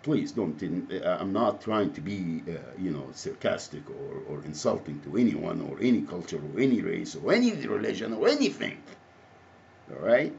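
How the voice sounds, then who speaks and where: A man from Egypt with an Egyptian Arabic accent, speaking off the cuff in a halting, repetitive, rambling monologue. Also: sits low, sing-song, creaky, darkish tone. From Alexandria, Egypt. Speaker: activist, self-described advocate. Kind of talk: impromptu spoken monologue to camera. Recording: muffled audio with faint background noise.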